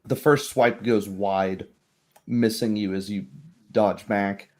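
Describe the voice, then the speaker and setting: A man narrating a tabletop roleplaying game as game master, in a measured way. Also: fairly low pitch, fairly even intonation, a little mellow.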